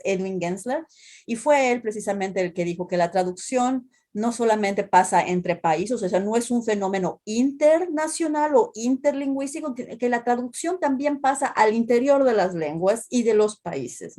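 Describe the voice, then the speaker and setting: Thoughtful Mexican woman, mellow voice. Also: fairly high pitch. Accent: Mexican Spanish. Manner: thoughtful, many restarts and tag questions. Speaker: translation professor, guest. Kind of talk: academic interview talk.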